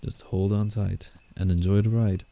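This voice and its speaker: A man playing a guarded, teasing but soft-hearted werewolf in a scripted roleplay ASMR drama, speaking in a measured, reassuring way. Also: fairly low pitch, flat delivery, vocal fry. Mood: playful, reassuring. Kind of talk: roleplay ASMR, scripted character acting with inner monologue.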